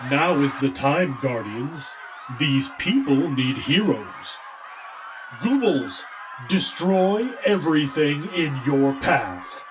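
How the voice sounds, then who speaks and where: Slow, taunting supervillain. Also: male voice, a little high, sing-song, noisy background. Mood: gloating. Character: gloating supervillain commanding monstrous minions.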